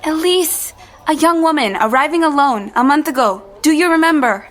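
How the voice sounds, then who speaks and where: A woman's voice: high-pitched, animated, moderate pace. An actress playing an anxious young woman who narrates and pleads for answers in an audio drama. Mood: urgent. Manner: tense, hesitant, reflective in narration. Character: anxious young woman trapped in a man's body. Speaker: narrator and lead.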